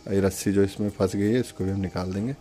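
A man with a fairly low voice talks through a hands-on demonstration as host. His delivery is casual and instructional, explaining step by step and repeating small pointers. Music plays along with his voice. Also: steady tone, quick pace. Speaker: product demonstrator and channel host. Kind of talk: casual step-by-step demo narration.

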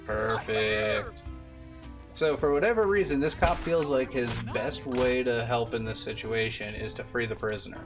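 Young man commentating over loud game music. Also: medium pitch, moderate pace. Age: young adult. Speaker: game streamer. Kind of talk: casual speedrun commentary.

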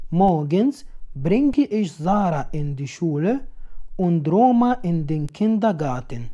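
Very slow, mellow woman reading sentences. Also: lowish voice, lo-fi sound. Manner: slow, deliberate, clearly enunciated. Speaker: language teacher. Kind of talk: slow read example sentences, each twice. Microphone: voice-over.

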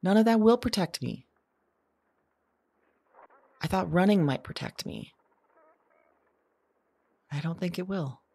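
A woman's voice: lowish voice, expressive, slow, vocal fry, pauses often, mellow, slightly rough, no echo, full character voice. A woman playing an anxious, searching modern woman in an audio drama, with a hesitant, confessional delivery. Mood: scared, despairing. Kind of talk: audio drama monologue acting.

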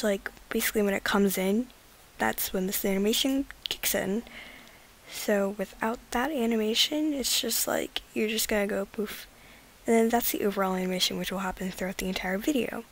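A teenage girl with a raspy voice, rambling casually through an editing tutorial and slipping into whispers at times. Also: on the high side, low-quality recording. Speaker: gaming video creator.

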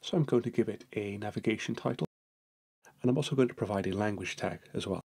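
A man narrating a software screencast tutorial, explaining each step in a steady manner. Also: fairly low pitch, fast talker, vocal fry, breathy edge.

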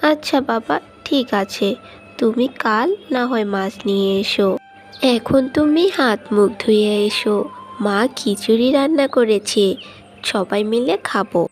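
A woman's voice: fairly high pitch, measured pace, faint music behind, close to an everyday voice. A woman voicing a sweet, dutiful young daughter in a village cartoon, with an earnest, childlike manner. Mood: disappointed, accepting. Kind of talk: Bengali moral-tale cartoon dub.

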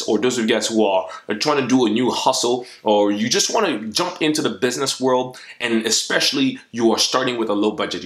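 A young man from the Democratic Republic of Congo gives upbeat, motivating advice directly to his viewers. His voice sits a little low. It was recorded in a small room at home with noticeable echo. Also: a bit bright. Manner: upbeat, encouraging, speaks straight to the viewer. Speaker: motivational YouTuber. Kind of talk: direct-to-camera advice vlog.

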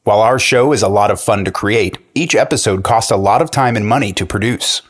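A man's voice: fairly low pitch, a little fast, husky. A man, an actor, speaking straight to listeners in a scripted appeal. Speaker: actor on the show.

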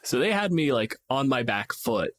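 A man's voice: mid-range voice, big pitch swings, moderate pace. A man co-hosting a casual tabletop gaming discussion, dramatizing his examples with acted asides. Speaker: podcast co-host and gamemaster.

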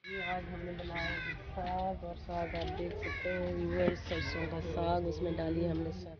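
A young Pakistani woman vlogs outdoors with a slightly hoarse, flat-toned voice. She keeps turning to her viewers in a chatty way as she shows her village. The phone audio is dull and lo-fi, with birds and wind clearly behind her. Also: lowish voice, unhurried. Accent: Punjab. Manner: chatty, addresses viewers often. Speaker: village vlogger. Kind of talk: casual vlog walkthrough. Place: outdoors in fields and a village courtyard. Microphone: phone camera held nearby.

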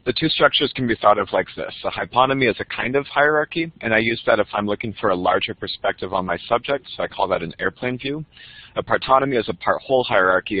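A man with a cold presenting a teaching method in a webinar, explaining in a steady, slightly halting way. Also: on the low side, dry recording. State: has a cold. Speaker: college instructor. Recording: muffled dry webinar audio.

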